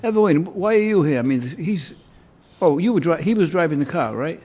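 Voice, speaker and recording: Higher-pitched man judging informally. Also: animated, a little fast, mellow. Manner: informal, teasing, repeats answers back. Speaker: judge presiding in a municipal court show. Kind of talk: conversational courtroom questioning. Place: courtroom.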